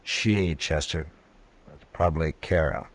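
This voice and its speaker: An actor playing a steady, terse American frontier lawman, grimly weary, in 1950s radio drama style. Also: extremely low pitch, measured pace, heavily acted. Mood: calm, watchful. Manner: terse, commanding. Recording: noisy old radio recording.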